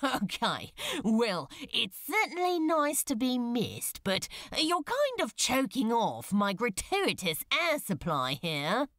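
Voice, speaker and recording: A woman's voice: a little high, huge pitch swings, slow, a bit bright, no echo, clean studio sound, full character voice. Woman as a drawling, swooping sardonic demon man. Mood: breezy, teasing. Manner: drawling, flippant, teasing. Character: sardonic, breezy male demon, casually concerned.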